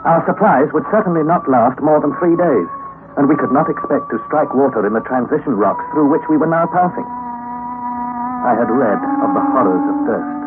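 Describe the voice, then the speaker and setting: A man's voice: mid-range voice, close to an everyday voice. A man playing an anxious young nephew who narrates a perilous expedition in an old radio drama, earnest and formal. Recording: tinny, noisy old radio recording.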